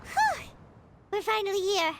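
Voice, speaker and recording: A woman voicing a tiny, eager, childlike fairy companion in a video game, squeaky and chirpy. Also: sing-song, measured pace, noisy background, exaggerated voice. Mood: curious. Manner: chirpy, refers to self in third person. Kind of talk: scripted video game dialogue. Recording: game music clearly behind.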